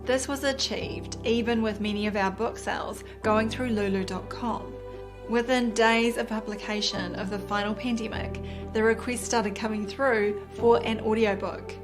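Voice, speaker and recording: A woman with a New Zealand accent, a doctor narrating her own nonfiction audiobook in a measured, deliberate reading style. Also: fairly high pitch, steady tone, music in the background.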